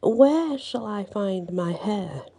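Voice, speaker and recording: A woman's voice: medium pitch, expressive, slow pace, creaky, warm tone, breathy edge, heavily acted. A woman storyteller voicing an earnest, polite young prince.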